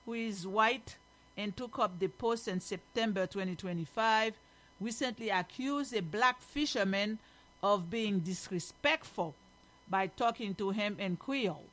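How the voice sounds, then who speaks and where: A woman's voice: medium pitch, measured pace, a bit bright, poor audio. A woman from Haiti with a Haitian accent, reading news aloud as a host and commenting on it in an emphatic, opinionated way.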